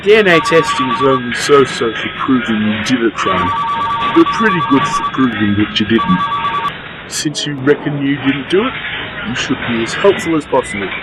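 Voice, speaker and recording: A man playing a brisk, practical police detective in a radio play, matter-of-fact and official. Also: mid-range voice, expressive, very noisy, natural voice. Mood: businesslike.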